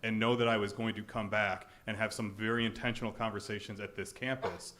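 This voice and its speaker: A man's voice: on the low side, steady tone, fairly quick, background noise. A man speaking on a panel, reflective and repeating words as he thinks. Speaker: alumni panelist. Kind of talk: panel talk at a keynote.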